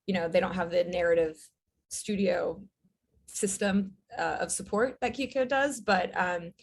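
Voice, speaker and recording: A woman, a writer and director, talking as an interview guest in a relaxed, thoughtful way with many fillers. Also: lowish voice, unhurried, gravelly. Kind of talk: casual interview chat.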